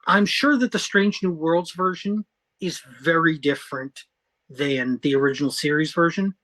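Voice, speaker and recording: Emphatic, lower-pitched woman podcaster. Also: moderate pace, a bit bright. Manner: emphatic, opinionated, interjecting. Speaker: podcast co-host. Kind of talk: animated review chat.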